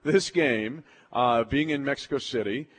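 An American man works as a sports show host, talking live to his audience and guest. His voice sits at a medium pitch, with an emphatic, folksy announcer's delivery. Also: a little slow, a bit bright.

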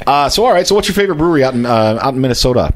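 A man's voice: mid-range voice, animated, very fast. A man hosting a casual beer podcast, rambling and joking with plenty of fillers and restarts. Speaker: podcast host. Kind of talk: casual chat about beer.

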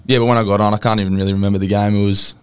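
A man's voice: lowish voice, level tone, racing, clean tone. A man in his early twenties with an Australian accent, chatting casually and telling anecdotes as a podcast guest in a laid-back, slangy way. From Central Coast of New South Wales, Australia. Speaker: rugby league player, podcast guest.

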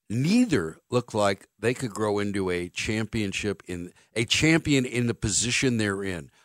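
A man's voice: mid-range voice, no room sound. A male sports radio host, ranting bluntly and sarcastically in a studio. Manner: blunt, sarcastic, animated rants. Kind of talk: opinionated sports radio monologue. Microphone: studio microphone.

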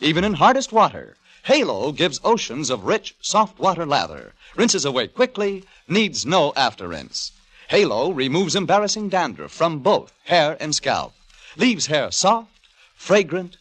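A man's voice: on the high side, a bit bright. A man announcing a 1950s radio commercial with an emphatic, polished salesman's delivery. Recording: 1950 radio broadcast.

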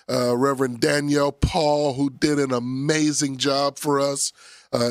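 A pastor in his forties hosts a radio talk show, his voice nasal and scratchy from a cold. He talks in a playful, preacherly way, pitched a touch high, close and dry on the mic. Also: fairly bright tone, dry recording. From Philadelphia area.

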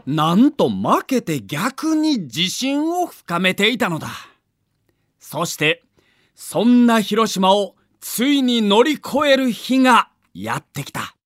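A man's voice: sits high, huge pitch swings, slow, fairly bright tone, no room sound, studio quality. A man narrating a sports documentary in a theatrical, emphatic storytelling manner.

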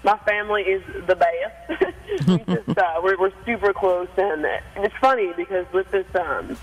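A woman in her twenties from Staten Island with a deep, raspy voice, an excited singer chatting with radio hosts and laughing often. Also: a little slow, poor audio. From Staten Island, New York. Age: 20s. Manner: giggly, excited, full of fillers. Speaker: singer and interview guest. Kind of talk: casual radio interview.

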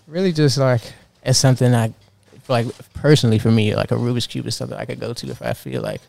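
A man in his twenties, a fashion designer, answering interview questions in a relaxed, earnest way with lots of fillers. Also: mid-range voice, quick pace, creaky. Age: 20s.

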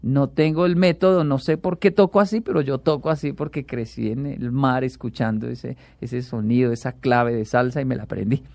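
A man, a talk show guest who tells animated stories and acts out the quotes. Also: medium pitch, moderate pace, gravelly, hardly any pauses, no echo. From Colombia. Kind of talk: casual chat.

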